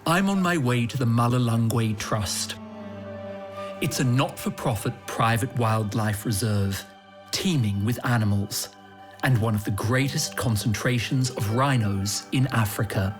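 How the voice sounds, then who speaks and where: Brief: male reporter, mid-pitched voice, earnest measured narration, music bed underneath. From Australia. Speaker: foreign correspondent. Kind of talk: scripted documentary narration.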